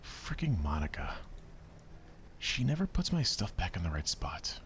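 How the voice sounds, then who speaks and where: A man's voice: low-pitched, sing-song, unhurried, a bit bright, a little hiss or hum, exaggerated voice. A man voicing a feisty, easily irritated schoolgirl manga fan with a blunt, grumbling manner in a visual novel. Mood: annoyed.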